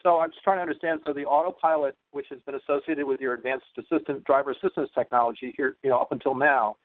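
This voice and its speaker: A man's voice: medium pitch, steady tone, quick pace, no room sound. A man putting an unscripted, probing question on a press call, hesitant and repeating words. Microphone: telephone. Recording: dry, boxy phone line.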